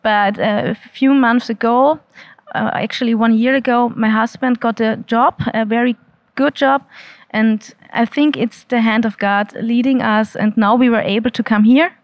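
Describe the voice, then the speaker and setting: A woman speaks slightly high and earnestly. She stumbles and restarts often while telling her story into a handheld mic. The sound is dull and dry. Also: dry recording. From Austria. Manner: earnest, halting, many restarts and fillers. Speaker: interviewee giving a testimony. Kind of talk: unscripted testimony. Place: indoor church hall. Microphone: handheld mic held by interviewer.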